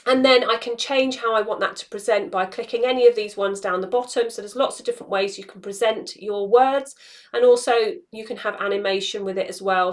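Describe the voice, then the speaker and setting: A woman around her forties hosting a casual instructional walkthrough, explaining things step by step. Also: mid-range voice. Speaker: social media tutorial host. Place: small indoor room.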